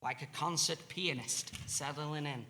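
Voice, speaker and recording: A man's voice: a little high, a little slow, big-room sound. An actor playing a dry, by-the-book male army switchboard soldier with clipped, procedural delivery.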